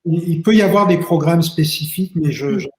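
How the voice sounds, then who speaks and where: A man's voice: on the high side, fairly quick, darkish tone. A man, an immigration lawyer, answers audience questions at length, with many fillers and restarts. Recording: roomy echo.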